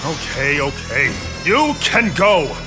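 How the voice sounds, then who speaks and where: An actor playing an arrogant, mocking, stubborn Egyptian Pharaoh in a dramatized children's Bible story. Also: male voice, a little high, very animated, slow pace. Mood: grudging. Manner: haughty, scoffing. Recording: loud music behind the voice. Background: music.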